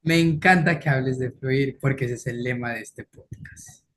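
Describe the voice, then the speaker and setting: A man's voice: mid-range voice, normal speed, a little hiss or hum. A man hosting a podcast in a casual, chatty interview style. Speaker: podcast host.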